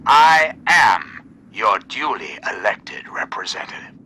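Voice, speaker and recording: A man's voice: mid-range voice, very animated, slow pace. A man playing a pompous, folksy president delivering stately, theatrical political addresses over the radio. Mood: pompous, assured. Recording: noisy radio playing in outdoor ambience.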